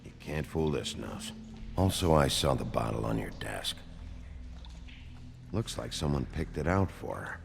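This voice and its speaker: Very deep, gravelly detective. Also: male voice, very animated, a little slow, pauses often, exaggerated voice. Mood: matter-of-fact. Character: gruff, brooding male detective with a keen nose. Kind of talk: video game voice acting. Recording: loud music under the voice.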